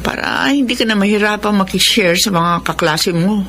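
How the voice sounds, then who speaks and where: A woman's voice: medium pitch, normal speed, fairly bright tone, a little hiss or hum, lightly acted. A woman playing a worried, scolding middle-aged mother in a Tagalog radio drama, with a fretful, emotive delivery. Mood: caring, weary. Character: poor, worried, scolding Filipino mother of three.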